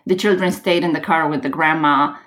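A woman with a Romanian accent, a realtor guest talking casually on a podcast, often pausing with ums and restarting. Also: sits low, fairly even intonation, a bit bright. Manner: hesitant, many ums and restarts.

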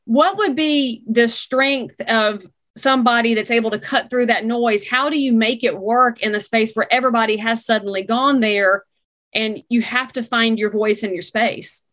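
Animated, fairly high-voiced woman host. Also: normal speed. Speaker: podcast host. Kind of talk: interview podcast.

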